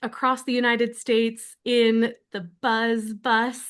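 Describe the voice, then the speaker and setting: A woman's voice: on the high side, little pitch movement, slow, clear, resonant tone. A woman hosting an interview, asking polite questions with some hesitant fillers. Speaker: interview host, social media producer.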